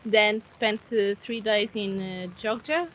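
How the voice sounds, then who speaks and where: A woman's voice: mid-range voice, steady tone, slow, fairly bright tone. A woman from Portugal with a Portuguese accent, giving casual interview answers in a hesitant way as a traveler. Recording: clear background noise, outdoor ambience.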